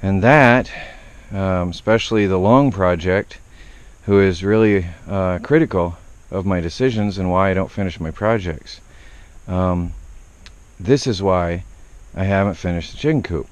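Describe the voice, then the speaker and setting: Low-voiced man defending himself on camera. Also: unhurried, no echo. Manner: defensive, explaining himself to critical viewers. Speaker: homesteading vlogger. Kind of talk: casual vlog talk to viewers. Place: outdoors in a rural yard by a wood shed.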